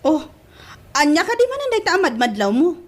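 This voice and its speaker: A lovestruck young woman as an actress plays her in an Ilocano radio-style drama. Her voice sits high, and she stretches emphatic words with feeling, sounding devoted and a little defensive. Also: very animated, a little fast. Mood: defensive, playful.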